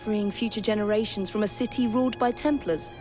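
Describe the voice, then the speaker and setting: A young English woman played by an actress voices a composed Victorian assassin. Her mid-pitched delivery is dry and measured, set over clear game music. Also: steady tone, lightly acted. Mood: determined, wry. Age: 20s. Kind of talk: video game cutscene acting.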